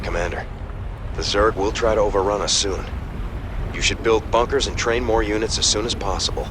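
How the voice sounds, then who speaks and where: Actor as urgent military officer amid noise. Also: male voice, lowish voice, fairly even intonation, brisk, close to an everyday voice. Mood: advising. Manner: alert, urgent, clipped military delivery. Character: urgent, dutiful military officer reporting threats. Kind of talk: video game mission dialogue.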